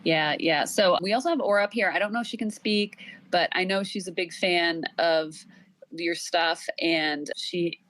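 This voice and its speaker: A woman's voice: on the low side, moderate pace, fairly bright tone, no echo. A woman hosting a casual, conversational interview discussion. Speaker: podcast host.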